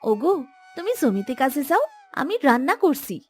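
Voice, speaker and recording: Fiery young cartoon village wife female actor: high, swooping, complaining and melodramatic, light music underneath. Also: sing-song, moderate pace. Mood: dutiful. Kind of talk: Bengali cartoon voice acting.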